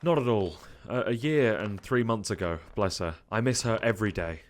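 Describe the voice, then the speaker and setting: A man's voice: lowish voice, normal speed, natural voice. A man as a guarded, hesitant physician. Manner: polite and hesitant. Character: Dr Edwards, a guarded male physician. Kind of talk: fan audio drama acting.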